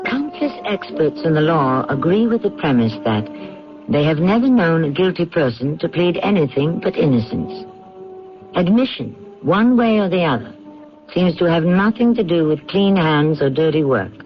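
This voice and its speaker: A man hosting a radio mystery, delivering scripted narration in a deliberate, theatrical way. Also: fairly high pitch, sing-song, soft background music. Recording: muffled radio broadcast recording.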